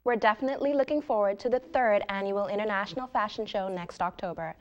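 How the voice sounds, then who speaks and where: Fast-talking female field reporter, dull sound. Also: mid-range voice. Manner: brisk scripted delivery. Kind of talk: news stand-up.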